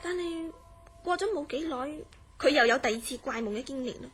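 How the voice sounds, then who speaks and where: An actress plays an earnest woman in a Cantonese horror radio play, with a Hong Kong accent. Her voice is high and she recounts uncanny dreams in a serious, measured way. Faint music sits behind her. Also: natural voice. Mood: grave recounting. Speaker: radio drama actor. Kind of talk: radio drama dialogue, recounting a strange story.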